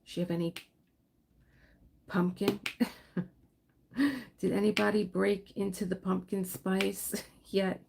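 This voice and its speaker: A woman hosting a relaxed livestream, chatting in a rambling way while she crafts and answers viewers. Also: mid-range voice, slow pace, gravelly, pauses often, noisy background. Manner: rambling, chatty, laughs often, reads and answers viewer comments. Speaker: livestream host. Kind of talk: casual livestream chat while diamond painting.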